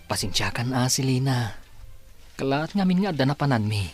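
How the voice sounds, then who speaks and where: Man playing a hesitant young Ilocano suitor, animated pitch. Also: a little fast, music in the background, lightly acted. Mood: hesitant, polite. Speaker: actor in a radio drama. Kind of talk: Ilocano radio drama dialogue.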